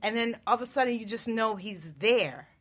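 A woman's voice: a little high, expressive, brisk. A woman talking informally as a panelist in a group conversation. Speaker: talk show panelist. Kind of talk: casual group chat.

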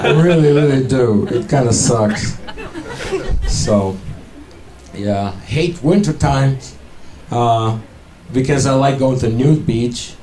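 A stand-up comedian from Ukraine with a Russian accent, in his forties, performing a halting, deadpan set in a comedy club. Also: mid-range voice. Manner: deadpan, halting, with many fillers. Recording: echoey club, loud room noise.

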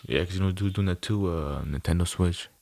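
A man's voice: low voice, brisk, vocal fry, a little mellow. A man co-hosting a casual podcast, telling stories in a laid-back, slangy way with easy laughs. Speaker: podcast co-host. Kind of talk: casual chat.

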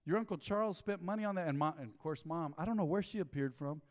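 Folksy preacher in a reverberant hall. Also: male voice, fast talker. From Texas. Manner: folksy storytelling, swings from low asides to loud emphatic bursts. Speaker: pastor. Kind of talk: sermon. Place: church sanctuary.

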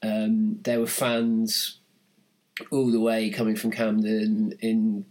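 Guy with kinda low voice rambling thru an anecdote on a podcast, dry jokes. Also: monotone, takes it slowly, a little mellow, rough recording. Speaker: podcast co-host. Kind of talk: casual chat.

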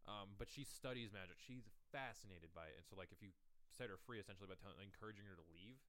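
A young man co-hosting a gaming podcast, rambling excitedly in casual chat with lots of fillers. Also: on the low side, fast talker. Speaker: podcast co-host.

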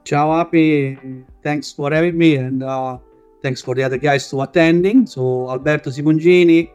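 A man from northern Italy with an Italian accent, speaking haltingly as a guest on a panel discussion, with frequent filler sounds. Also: fairly high pitch, fairly even intonation, a little mellow, clean tone, muffled recording, faint music behind. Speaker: guest, engineering manager.

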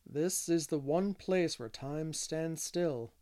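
A gentle middle-aged gentleman, played by a male storybook reader, wistful and unhurried. His voice stays close to plain narration. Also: on the high side.

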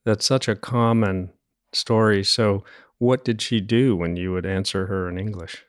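A man's voice: lowish voice, steady tone, unhurried, clean tone, no echo, clean studio sound. A man hosting a podcast, introducing the guest and interviewing her in a friendly way. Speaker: host.

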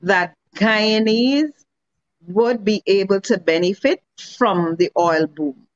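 A woman's voice: mid-range voice, takes it slowly, dry recording. A woman talking earnestly in a discussion, stressing her points with rhetorical questions. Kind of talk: casual discussion. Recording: dull lo-fi sound.

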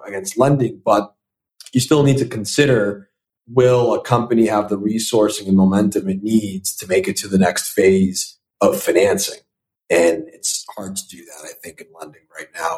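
Thoughtful young man, slightly low voice. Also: darkish tone, low-quality recording. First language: Italian. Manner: thoughtful, repeats words when starting sentences. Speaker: venture capital investor, guest. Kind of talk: podcast interview answers.

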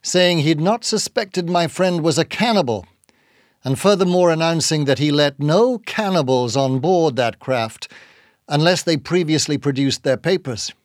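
Man putting on a gruff, blustering old sea captain. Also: on the high side, animated, measured pace, dry recording, studio quality. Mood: gruff, suspicious. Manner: loud hailing, salty nautical exclamations. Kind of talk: dramatised audiobook reading.

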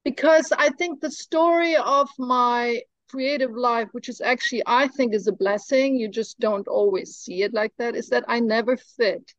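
A woman's voice: on the high side, normal speed, few pauses, no room sound, highs cut off. A middle-aged woman, from Germany, chatting as a guest in an interview, playful, joking and laughing often. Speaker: guest, stop motion animator. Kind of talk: casual interview chat.

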